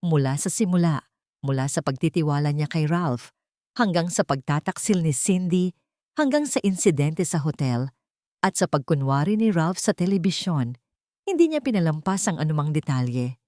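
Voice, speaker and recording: A woman with a fairly low voice reads a dramatic audiobook story. The delivery is scripted narration, and the sound is dry and close, with little room in it. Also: animated, normal speed, mellow, no room sound.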